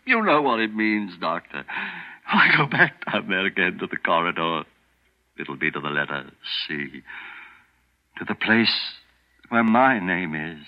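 A man's voice: sits low, big pitch swings, measured pace, heavily acted. An actor playing an older man in a 1940s radio thriller, speaking with urgent, dramatic delivery. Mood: pleading. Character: elderly man, hunted by a scheming son-in-law. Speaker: protagonist and narrator. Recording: dull old radio broadcast with music.

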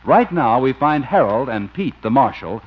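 A man's voice: medium pitch, very animated, normal speed, slight background noise. A man giving scripted narration as a 1950s radio announcer, setting the scene. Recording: muffled 1950s radio recording.

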